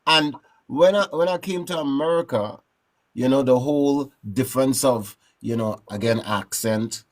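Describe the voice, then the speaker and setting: A man from Trinidad with a Trinidadian accent, telling stories in a relaxed, rambling way as a guest in a casual interview. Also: medium pitch, moderate pace. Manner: relaxed, rambling, frequent "you know what I'm saying". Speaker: guest musician.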